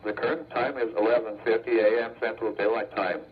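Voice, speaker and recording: A man's voice: lowish voice, steady tone, husky. Muffled synthetic male weather radio voice. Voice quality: synthetic text-to-speech voice. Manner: measured, formulaic, segmented phrases. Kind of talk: automated weather bulletin reading.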